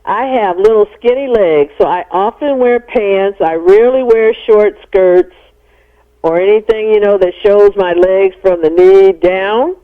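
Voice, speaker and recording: A woman's voice: medium pitch, unhurried. A middle-aged American woman explaining things as a psychologist guest in a radio interview. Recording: narrow-band phone-like radio sound.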